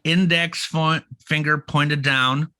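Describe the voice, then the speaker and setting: A man with a bright, forward voice recorded close and dry. He co-hosts a podcast in a loose, joking style, often stopping and repeating words as he works through an explanation. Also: fairly high pitch, fairly even intonation, slow, no echo. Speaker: podcast co-host. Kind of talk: casual comedic banter.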